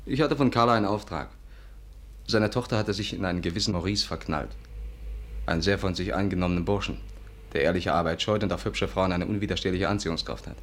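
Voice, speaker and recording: An actor playing an urbane, persuasive amateur sleuth in a 1950s radio play, with a coaxing manner. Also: male voice, deep voice, fast, fairly bright tone. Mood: confiding. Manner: urbane, coaxing, slightly exasperated.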